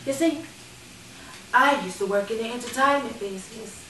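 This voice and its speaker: Woman doing a candid sassy ex call girl monologue, kinda high voice, takes her time, roomy echo w some noise. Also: bright, forceful tone. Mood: coy, confiding. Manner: playful, confiding, dramatic pauses. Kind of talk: theatrical stage monologue. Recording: echoey room, distant mic, background noise.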